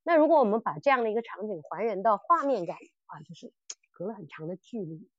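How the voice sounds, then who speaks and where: A woman's voice: medium pitch, sing-song, fast, no room sound, lo-fi sound. A woman hosting a podcast in casual chat, often repeating words and restarting as she explains. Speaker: podcast host. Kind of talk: casual chat reviewing audio dramas.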